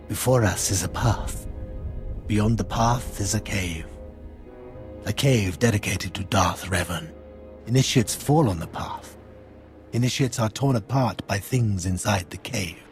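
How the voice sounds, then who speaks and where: An older actor playing a solemn, ceremonial cult elder in a video game, speaking gravely and deliberately. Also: male voice, fairly low pitch. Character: solemn old cult elder testing initiates. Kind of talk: scripted video game dialogue.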